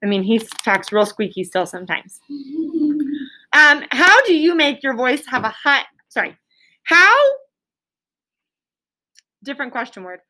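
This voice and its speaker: A woman teacher leading an interactive lesson for young children, encouraging them with praise and explaining in simple, deliberate terms. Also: high voice, sing-song, pushed and bright, low-quality recording. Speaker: elementary school teacher. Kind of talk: interactive classroom lesson with questions. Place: classroom.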